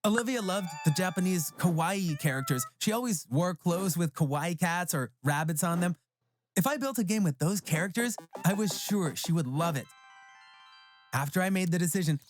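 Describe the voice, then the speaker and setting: Story narrator, medium pitch, scripted first-person telling for animation, faint music bed. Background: background music.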